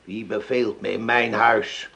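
Gravelly old farmer. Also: male voice, lowish voice, little pitch movement, measured pace, pushed and bright, full character voice. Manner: blunt, grumbling. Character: gruff old male farmer, distrustful. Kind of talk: radio drama acting. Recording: dull lo-fi radio play recording.

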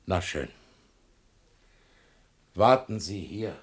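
A lowish, husky male voice with a very lively, swooping melody. a talkative paint shopkeeper in a radio play, evasive and patronizing, always placating his customer. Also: drawn-out words, heavily acted. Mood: dismissive, reluctant. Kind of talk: German radio play acting.

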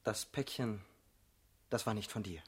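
An actor playing a troubled, doubting male political assassin in a German radio play, with a husky voice and a brooding, earnest delivery. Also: on the low side, measured pace. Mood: questioning, wary.

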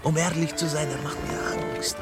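An actor playing a superstitious, frightened sailor in a radio drama, muttering with agitation. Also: male voice, a little high, steady tone, moderate pace, loud music behind the voice, lightly acted. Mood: suspicious, fearful. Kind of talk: German radio drama acting.

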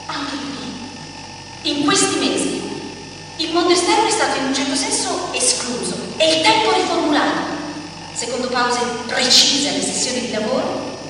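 An actress as an intense high-voiced woman, echoing hall. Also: animated, normal speed. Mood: earnest, explanatory. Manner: emphatic, fragmented delivery with rhetorical questions. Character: embittered, devoted female caretaker, intense and volatile. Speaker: stage actor in a radio play. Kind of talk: theatrical dramatic monologue. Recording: very echoey hall, distant mic, clear noise.

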